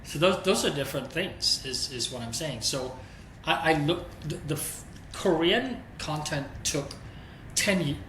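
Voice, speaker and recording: Exec guy in an interview, candid and thinking out loud, echoey room with noise behind. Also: on the high side, unhurried. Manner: thoughtful, candid, thinks aloud. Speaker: streaming executive guest. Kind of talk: conversational interview answers. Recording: roomy echo with steady background noise.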